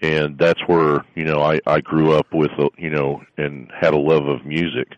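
A middle-aged man from South Florida with a very deep bass voice, telling stories in a casual interview with lots of fillers and restarts. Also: level tone, normal speed, no room sound, highs cut off. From South Florida, USA. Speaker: guest, strength coach and musician.

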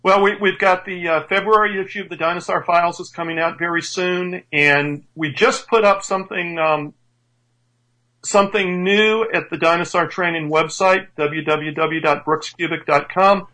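A man's voice: high-pitched, fairly even intonation, normal speed, bright, forceful tone, poor audio. A man in his fifties, a strength coach, chatting enthusiastically on a radio show and stumbling into restarts now and then. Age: 50s. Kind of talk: casual radio show chat.

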